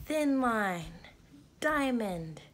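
A woman reading a picture book aloud for children, addressing them as friends and pausing before key words. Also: on the high side, big pitch swings. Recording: clear background noise, home recording. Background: background noise.

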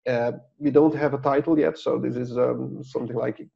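Gravelly middle-aged Dutch-speaking man. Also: medium pitch, steady tone, normal speed, dark tone. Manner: rambling, many restarts and fillers, chuckles. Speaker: author and guest. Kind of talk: casual interview over video call. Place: office with branded backdrop. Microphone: lapel mic on video call. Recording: dull lo-fi video call audio.

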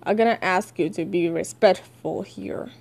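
A stern, tired grown man in a comic dub, played by a woman. The voice is pushed well down and sounds deliberately firm. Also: lowish voice, gravelly, a little mellow, voice pushed down.

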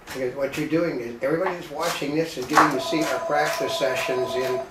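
A man's voice: fairly high pitch, steady tone, brisk, distant mic, loud music behind the voice. A man bantering casually on a live home cooking show, rambling with dry self-mocking jokes. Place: home kitchen, indoors. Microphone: distant camera mic. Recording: roomy kitchen sound from afar.

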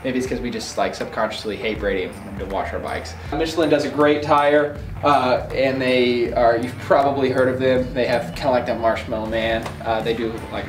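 A man in his twenties giving a casual, slangy, enthusiastic product tour to camera inside a shop. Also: medium pitch. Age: 20s. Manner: laid-back, slangy, enthusiastic. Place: indoors in a bike shop. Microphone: camera a few feet away. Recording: roomy echo, distant mic, music behind.

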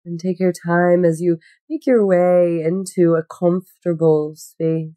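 A woman guiding a meditation in a gentle, unhurried, soothing manner with long pauses. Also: fairly low pitch, fairly even intonation, no echo. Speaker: yoga nidra meditation guide. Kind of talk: guided meditation.

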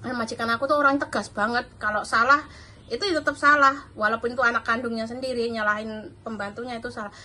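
Bright high-voiced chatty Javanese woman. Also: fairly even intonation, fast, a little hiss or hum. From Java, Indonesia. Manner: chatty, laughs often, mimics others' voices. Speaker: domestic worker vlogger. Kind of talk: casual chat to camera while eating breakfast. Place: large bright kitchen at a counter, indoors. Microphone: phone camera on the table.

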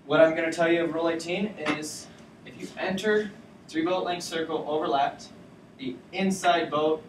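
A young man giving a casual talk on sailing rules to his college team, explaining things loosely with fillers. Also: a little high. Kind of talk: informal lecture to a student sailing team. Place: lecture classroom with a projector screen. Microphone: far camera at the back of the room. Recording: roomy classroom from far off, with background noise.

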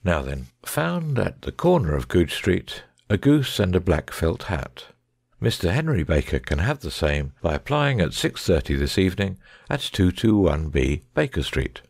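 Deep, creaky-voiced gentleman detective, played by a man. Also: big pitch swings, moderate pace, no room sound, clean studio sound, heavily acted. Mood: brisk, assured. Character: shrewd, commanding gentleman detective, composed and faintly amused. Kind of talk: dramatic audiobook reading.

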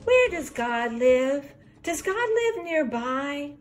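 A woman's voice: high-pitched, very animated, slow, soft background music, voice pushed up, exaggerated voice. An older woman voicing an eager, questioning little girl in a rhyming storybook. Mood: curious. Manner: pleading, questioning.

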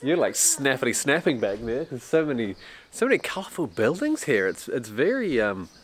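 A man in his thirties vlogging on a trip, chatty and excitable, with bursts of loud enthusiasm. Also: medium pitch, moderate pace. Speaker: travel vlogger. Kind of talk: casual travel vlog chat. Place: outdoors on a windy island and village streets. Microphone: camera mic, handheld selfie framing.

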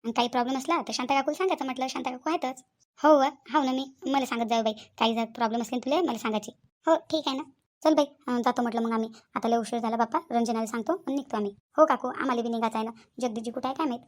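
Woman voicing a high, chatty cartoon village woman. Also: quick pace, lightly acted. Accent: Varhadi Marathi (Vidarbha). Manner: gossipy, familiar. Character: chatty, neighbourly village women. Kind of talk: casual cartoon village chat.

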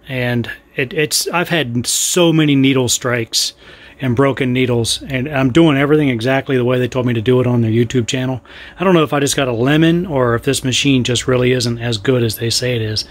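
Plainspoken middle-aged man narrating handiwork. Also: medium pitch. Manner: plainspoken, frank, talks while doing hands-on work. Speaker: sailing channel host. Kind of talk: casual how-to narration while working. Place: indoors in a home, kitchen and living room.

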